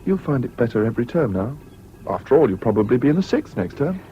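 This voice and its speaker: An actor playing a calm, decent older schoolboy with an English public-school accent, speaking in a measured, kind way in a radio drama. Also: male voice, medium pitch, close to an everyday voice. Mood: kindly, friendly. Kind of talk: 1970s BBC radio drama acting. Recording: muffled, hissy old radio broadcast.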